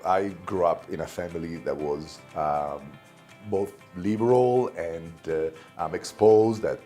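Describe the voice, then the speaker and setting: A man in his thirties with an Italian accent, a business guest talking in an interview, animated but hesitant, with many fillers and restarts. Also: low voice, unhurried, faint music behind. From Italy. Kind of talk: conversational interview. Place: studio set at a business club.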